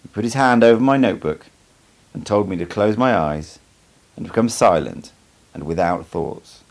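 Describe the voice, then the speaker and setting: Deep, gravelly male audiobook narrator. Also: expressive, moderate pace. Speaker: amateur audiobook reader. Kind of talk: scripted audiobook narration, first-person memoir.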